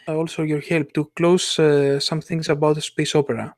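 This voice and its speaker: Male interviewer, non-native English speaker, slightly high pitch, dry recording, halting delivery. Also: little pitch movement, no echo. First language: not English. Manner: hesitant, searching for words. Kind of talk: casual interview.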